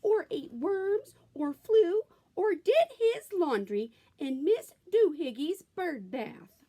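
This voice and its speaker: A woman's voice: very high voice, expressive, takes it slowly, mellow, voice pushed up, exaggerated voice. A woman playing a scolding mother cat. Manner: scolding, lecturing, emphatic. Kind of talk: read-aloud picture book.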